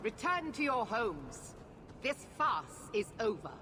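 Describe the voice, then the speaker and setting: An actress playing a stern, unyielding knight commander, with a commanding, severe and formal delivery in a fantasy game. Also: female voice, a little high, big pitch swings, slow pace, background noise. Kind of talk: dramatic fantasy video game acting.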